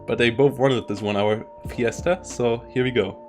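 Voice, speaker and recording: A man's voice: mid-range voice, fairly even intonation, normal speed, soft background music. Rambling man casting a strategy game. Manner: rambling, self-interrupting, frequent restarts. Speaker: esports caster. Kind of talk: live game commentary.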